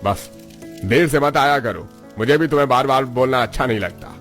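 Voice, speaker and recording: Blustering cartoon factory boss, played by a man, mid-pitched, scolding yet fair, music underneath. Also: normal speed, a bit bright, close to an everyday voice. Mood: gruffly lenient. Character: greedy, scolding but fair rich male factory boss. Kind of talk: Hindi cartoon story acting.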